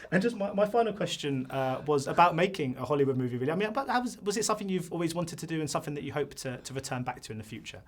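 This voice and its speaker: A male film interviewer with a slightly high voice rattles off his questions at a fast clip. He keeps the tone chatty and friendly. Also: lively intonation.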